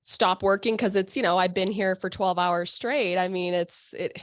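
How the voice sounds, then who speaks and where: Woman host, middle pitch, effusive and chatty, dry close recording. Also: normal speed, dry recording. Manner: bubbly, effusive, lively exclamations. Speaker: podcast host. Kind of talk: casual interview chat. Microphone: video call microphone.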